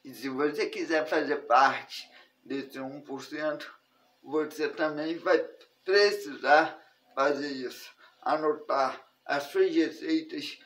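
Slow-paced Brazilian man, higher-pitched voice. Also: low-quality recording. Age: 30s. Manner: slow, halting delivery in short phrases. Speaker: personal finance instructor. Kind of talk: online video lesson spoken to camera. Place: indoors in a furnished room at home.

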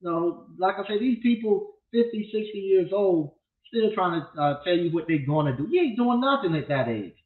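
A man's voice: sits high, expressive, normal speed. Man, 50s, livestream host, rambling and blunt delivery, tinny phone-like sound with room echo. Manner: loose, rambling and blunt, with repeated restarts. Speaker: appliance repair instructor and channel host. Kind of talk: casual livestream talk. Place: small home studio with green walls. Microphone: desk mic on a boom arm.

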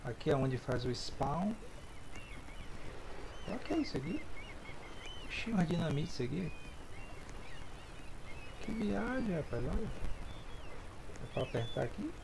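A man's voice: on the high side, sing-song, unhurried, long pauses. A middle-aged man with a Northeastern Brazilian accent doing casual, rambling gameplay commentary, often talking to himself. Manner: rambling, reading signs aloud and questioning himself. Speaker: gaming video host. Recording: clearly noisy home recording.

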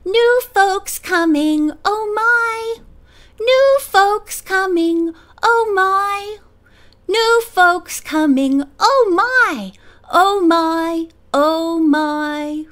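A woman voicing an eager, excitable young male rabbit in a children's storybook. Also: very high voice, normal speed, hardly any pauses, pitched above the performer's own voice. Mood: singing, triumphant. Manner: eager, exclaiming.

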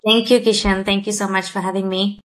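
A woman's voice: medium pitch, little pitch movement, brisk. A woman in her thirties from Sweden, a guest on a video call interview, speaking thoughtfully with many fillers. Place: indoor room by a window. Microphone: laptop or webcam mic on a video call.

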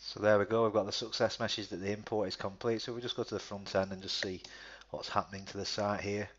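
A man presenting a screen-recorded software tutorial, talking step by step with frequent restarts and fillers. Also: fairly low pitch, little pitch movement, quick pace, dull audio.

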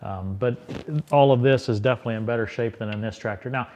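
A middle-aged man talks through a tractor repair in a workshop, thinking aloud and often restarting his sentences. His voice sits at an ordinary middle pitch. The camera is close and the tone is casual and plain. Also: fast, darkish tone, dull audio, a little hiss or hum. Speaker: tractor repair channel host. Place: workshop beside a tractor, indoors.

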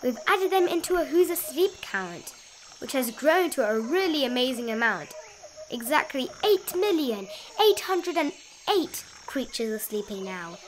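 Female storybook narrator, highish voice, sing-song rhymes for children, some background noise on the recording. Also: sing-song, a little slow, poor audio. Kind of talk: expressive read-aloud of a rhyming children's bedtime picture book.

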